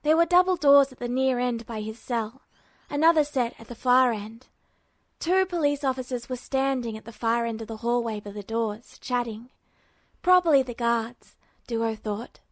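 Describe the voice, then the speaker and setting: Higher-pitched woman narrating a story. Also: normal speed, no echo. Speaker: narrator, fan fiction reader.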